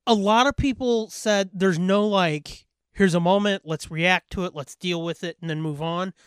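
High-voiced, blunt, sweary man. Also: moderate pace, smooth, no room sound. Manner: blunt, freely profane. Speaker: podcast co-host. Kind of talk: casual, opinionated film chat.

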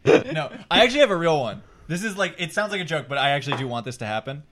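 Excitable, higher-voiced man bantering. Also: fast talker, slight background noise. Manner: excitable, emphatic, profane. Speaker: podcast co-host. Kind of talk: casual fan banter.

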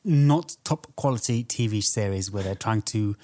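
A man hosting a film podcast, discussing movies casually in a measured, slightly tentative way. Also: lowish voice, lively intonation, warm tone.

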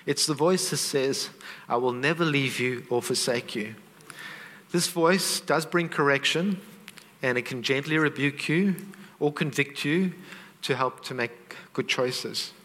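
A man's voice: medium pitch, measured pace, fairly bright tone. Earnest man preaching in a hall. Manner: earnest, conversational preaching with asides to the congregation. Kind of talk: sermon.

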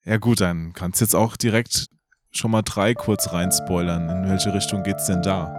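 A man's voice: sits low, steady tone, a little slow, over loud music. A man hosting a casual podcast, asking questions in a joking, wry way. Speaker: podcast host, interviewer. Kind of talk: casual chat.

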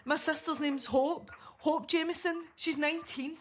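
Casting: actress as anxious Scottish woman, high voice, insistent and exasperated, radio drama emergency call. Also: steady tone, normal speed, a little hiss or hum, lightly acted. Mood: urgent. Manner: urgent, exasperated. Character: worried, insistent sister phoning the police. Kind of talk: radio play acting.

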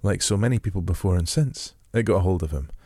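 Soft-spoken low-voiced male podcast host. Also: quick pace, no echo, clean studio sound. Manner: gentle, descriptive intro, then relaxed questions. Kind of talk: podcast interview. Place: rural home.

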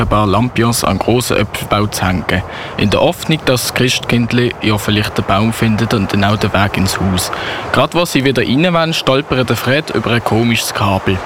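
Lowish male storyteller, faint noise. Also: a little fast. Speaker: narrator. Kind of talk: radio play story narration in German.

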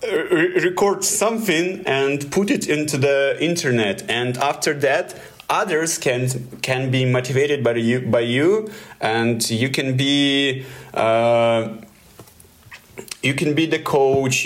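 A man with a Russian accent, telling a story in halting English with many fillers and restarts. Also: mid-range voice. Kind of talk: casual storytelling.

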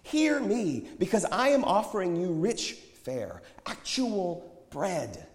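A man's voice: sits high, sing-song, slow, voice pushed up, full character voice. A man playing a prophet who preaches with tender yet challenging, pleading conviction in a dramatized scripture story. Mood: impassioned, pleading. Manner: declamatory, pleading, pointed. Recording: echoey hall with long reverb.

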